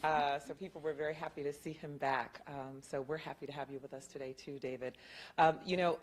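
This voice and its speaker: Low-voiced woman moderating onstage. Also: fairly even intonation, fairly quick, slight background noise. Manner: chatty, laughing, self-deprecating asides. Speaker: moderator of a panel conversation. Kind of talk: casual onstage interview. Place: event room before an audience. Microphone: handheld mic, at first off and from far away. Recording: distant, quiet pickup from across the room.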